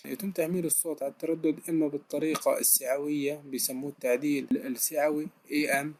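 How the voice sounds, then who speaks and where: A man's voice: a little high, level tone, quick pace, slight background noise. A man giving a recorded technical lesson, explaining step by step and answering his own questions with frequent hesitations. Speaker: lecturer.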